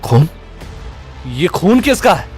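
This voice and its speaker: A man's voice: a little high, sing-song, takes it slowly, darkish tone, soft background music. An actor playing a brusque, irritable young man in an audio drama, curt and grumbling. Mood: troubled, irritated. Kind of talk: Hindi audio drama acting.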